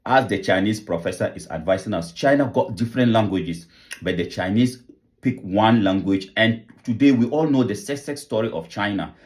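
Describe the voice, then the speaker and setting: A man's voice: lowish voice, moderate pace, darkish tone. A man hosting an online channel, talking earnestly to viewers with repeated rhetorical questions. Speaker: channel host. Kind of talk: vlog commentary, appeal to viewers.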